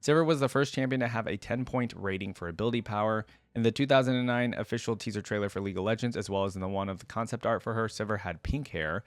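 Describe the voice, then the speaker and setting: A man hosting a casual online reaction video, reading wiki text aloud and thinking out loud in an informal way. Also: fairly low pitch, quick pace, few pauses, dry recording. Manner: informal, thinking aloud between read-out passages. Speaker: YouTube host reacting to game lore.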